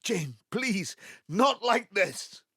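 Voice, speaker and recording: A gravelly, pleading old father. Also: high voice, very animated, very slow, pushed and bright, no echo, clean studio sound, heavily acted. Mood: anguished. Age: 70s. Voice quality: raspy, gravelly. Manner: blustering, pleading. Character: pleading, evasive ageing father, aged seventy-five. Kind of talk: emotional audio drama dialogue.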